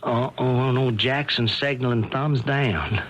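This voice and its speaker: An actor playing a folksy, excitable American soldier who stammers and talks ungrammatically, in a 1970s radio drama. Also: male voice, mid-range voice, slow, highs cut off. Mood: alarmed, panicky. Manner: rustic, ungrammatical speech with stammered repeats. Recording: noisy 1970s radio broadcast recording.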